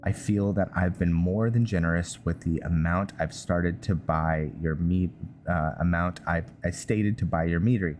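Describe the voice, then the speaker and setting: A man hosting a gaming video, giving casual, halting roleplay commentary and reading in-game text aloud. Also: deep voice, fairly even intonation, darkish tone, a little hiss or hum. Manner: hesitant, halting delivery. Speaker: gaming channel host.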